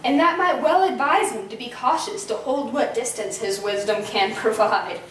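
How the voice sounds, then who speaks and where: A woman's voice: fairly high pitch, normal speed, a bit bright, reverberant, recorded from a distance, slight background noise, lightly acted. A woman playing a worried nobleman who speaks earnestly and asks questions. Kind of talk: amateur Shakespeare performance.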